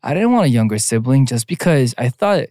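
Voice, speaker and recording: A man's voice: medium pitch, big pitch swings, a little fast, mellow, dry recording, studio quality. A man in his twenties co-hosting a podcast, chatting candidly in a laid-back way with lots of "like" and "you know". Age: 20s. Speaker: podcast co-host. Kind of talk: casual chat.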